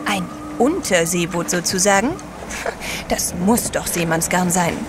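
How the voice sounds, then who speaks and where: An actress playing a genteel, adventurous wife in an audio drama, poised and gently teasing. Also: female voice, on the low side, a little slow, noisy background, close to an everyday voice. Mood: amused. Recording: loud outdoor ship ambience.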